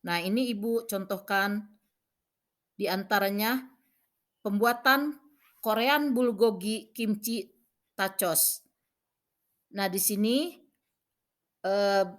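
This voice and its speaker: A woman teacher with a medium-pitched voice narrates a scripted lesson. She reads out each point in short, measured phrases, in an instructional manner. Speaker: vocational school cooking teacher.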